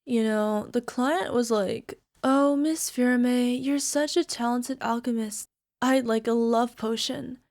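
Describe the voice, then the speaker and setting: Woman acting a high-voiced, giggly anime-style alchemist girlfriend. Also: takes it slowly, darkish tone. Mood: chatty, mildly grumbling. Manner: giggly, hesitant, sighing, slipping into whispers. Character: bubbly young female alchemist turned obsessively lovestruck girlfriend. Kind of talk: ASMR girlfriend roleplay audio drama.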